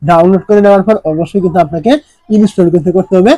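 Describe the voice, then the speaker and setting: High-pitched, quick-talking man giving instructions. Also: warm tone, dry recording. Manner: repetitive, instructional, poses questions then answers them. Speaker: tech tutorial presenter. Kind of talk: step-by-step phone tutorial narration.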